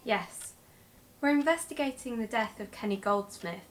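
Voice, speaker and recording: A woman's voice: on the high side, expressive, moderate pace, slight background noise, natural voice. A woman playing an earnest, conscientious investigative podcaster in an audio drama. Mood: polite, careful. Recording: music bed under the voice.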